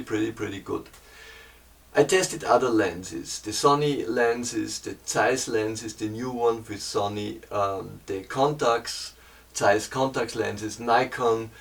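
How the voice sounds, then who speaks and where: German man explaining his photo workflow in careful, halting English, mid-pitched, recorded from a little way off the mic. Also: recorded from a distance. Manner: hesitant, searching for English words. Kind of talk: casual explanation to camera.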